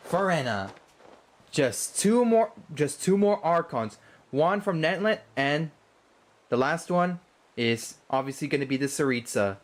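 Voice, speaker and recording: Lively young man streaming a game. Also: on the high side. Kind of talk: casual live gaming commentary.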